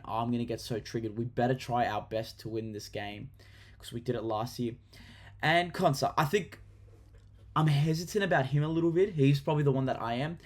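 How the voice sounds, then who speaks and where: A man's voice: medium pitch, a little mellow. Chatty young Australian man. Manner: chatty and enthusiastic, with frequent ums and restarts. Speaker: football vlogger. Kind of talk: casual fantasy football chat to camera.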